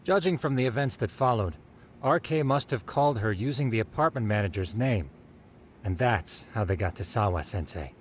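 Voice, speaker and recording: Actor as calm male informant, lowish voice, orderly, explanatory, game drama, faint ambient noise. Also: normal speed, natural voice. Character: calm, methodical male informant briefing detectives. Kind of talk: English dub game cutscene dialogue.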